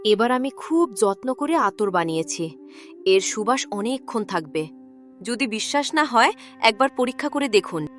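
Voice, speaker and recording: A woman plays a poor cartoon village mother who sells perfume. Her voice is fairly high, gentle and earnest, with faint music behind it. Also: sing-song, normal speed. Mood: pleading. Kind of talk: Bengali cartoon fairy-tale voice acting.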